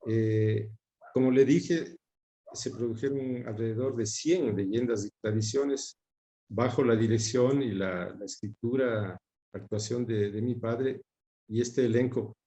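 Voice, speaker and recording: A mellow, mid-pitched male voice with an Ecuadorian accent. He speaks as an interview guest, recalling memories conversationally and pausing to search for details. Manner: hesitant, searching for names. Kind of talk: reminiscing in an interview.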